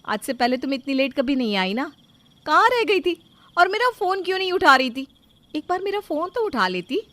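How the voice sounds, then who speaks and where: A woman voicing a caring, anxious elder sister-in-law in a moral story, gentle and pleading. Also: sits high, sing-song, moderate pace, slight background noise, voice pushed up, exaggerated voice. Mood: worried. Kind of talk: Hindi moral story character dialogue.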